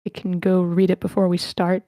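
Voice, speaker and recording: A woman's voice: fairly low pitch, monotone, normal speed, warm tone, no room sound, clean studio sound. A woman casually hosting an online group read, polite and informal, often thinking aloud as she organizes things. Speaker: host of online table read. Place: online voice meeting.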